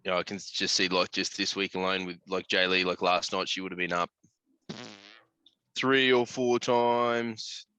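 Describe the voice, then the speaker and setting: A man's voice: low voice, steady tone, a little slow, pushed and bright, slight background noise, low-quality recording. An Australian man chatting casually as a podcast host, blokey and sweary, full of slang.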